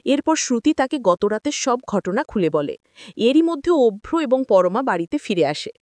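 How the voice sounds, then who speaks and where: A woman's voice: on the high side, very animated, normal speed, dark tone, no echo. A woman reading a scripted story recap of a TV drama, moving from scene to scene. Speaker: narrator of TV serial episode recaps. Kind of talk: scripted story recap narration with acted-out dialogue.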